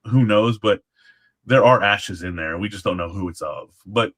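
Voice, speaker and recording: A man's voice: lowish voice, moderate pace, fairly bright tone. A man speaking as a guest, telling stories in a casual, conversational way. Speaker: guest, former Alamo guard. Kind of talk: casual storytelling chat.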